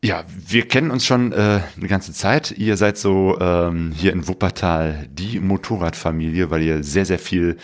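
Male podcast host, low voice, casual interviewing in a living room. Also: unhurried, hardly any pauses.

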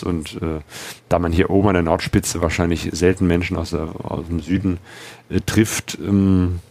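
A man with a low, deep voice tells about his motorcycle journey in a loose, rambling way. He fills his sentences with ums and ahs and often starts over, like someone just talking to the camera. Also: darkish tone. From Germany. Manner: rambling, many fillers and restarts. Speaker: motorcycle travel vlogger. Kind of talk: casual travel vlog talk.

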